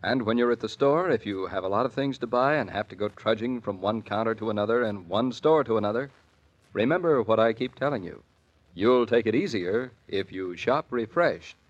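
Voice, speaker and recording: A man's voice: on the low side, normal speed. A man announcing a sponsor's commercial in friendly, folksy 1940s radio style. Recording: muffled old 1940s radio recording.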